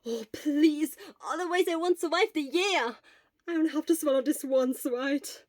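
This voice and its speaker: A woman voicing a loud, excitable teenage schoolboy in an audiobook, with an exclamatory, whiny, theatrical manner. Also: sits high, a little slow, no echo, clean studio sound, voice pushed up. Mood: disgusted, pleading. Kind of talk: acted audiobook dialogue.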